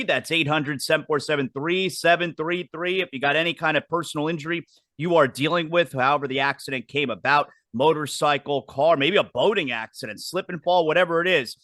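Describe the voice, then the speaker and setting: High, bright-voiced talk show host. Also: male voice, fairly quick, dry recording. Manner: chatty, repeats words when restarting. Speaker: podcast host. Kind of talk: casual wrestling talk show chat.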